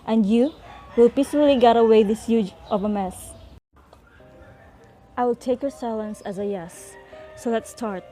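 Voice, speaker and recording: A woman's voice: mid-range voice, warm tone, close to an everyday voice. A woman playing a stern, businesslike investigator in a radio drama, formal and insistent. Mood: firm, impatient. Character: stern businesslike female investigator questioning students.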